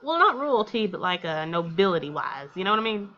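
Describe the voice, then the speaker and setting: A woman's voice: fairly low pitch, big pitch swings, a little fast. American woman gaming host, slightly dull mic. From Texas, United States. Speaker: let's play host. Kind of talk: visual novel read-aloud with casual commentary.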